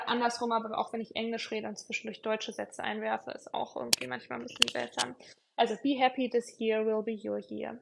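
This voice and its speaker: A woman's voice: a little high, vocal fry. A young woman hosting her own podcast, chatting casually and reflectively, with many fillers and repeated words for emphasis. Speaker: podcast host.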